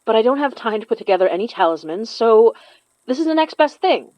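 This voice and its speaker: A woman playing a steady, protective young spell caster in an audio horror drama, with casual, natural delivery. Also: on the high side, brisk, no echo. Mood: earnest. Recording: dry, slightly muffled studio.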